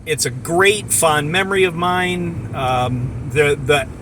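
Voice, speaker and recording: A middle-aged man casually sharing personal memories in a reflective, halting way, in short phrases. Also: a little high, fairly bright tone, slight background noise, poor audio. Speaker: storyteller, amateur voice actor.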